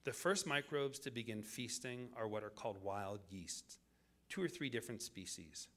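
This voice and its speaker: A man delivering a scripted public lecture as the lecturer, in deliberate, measured phrases with dramatic pauses. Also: mid-range voice, animated, fairly bright tone.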